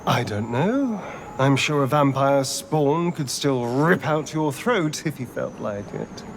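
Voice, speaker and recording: Arch, drawling vampire. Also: male voice, mid-range voice, lively intonation, takes it slowly, background noise. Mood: sly. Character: arch, sardonic, aristocratic male vampire rogue. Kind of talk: video game character acting.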